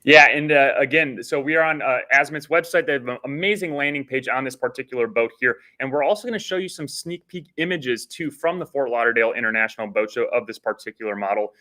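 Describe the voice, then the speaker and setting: A man's voice: medium pitch, fast talker, few pauses, pushed and bright. A man co-hosting a casual boating broadcast, upbeat and salesy, with lots of "uh" fillers. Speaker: co-host. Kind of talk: casual promotional chat.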